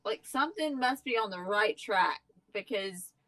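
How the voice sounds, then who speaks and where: A woman's voice: medium pitch, lively intonation, moderate pace, sharp tone, no echo. Woman chatting on a panel, dry sound. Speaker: stream panelist. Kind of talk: casual group chat.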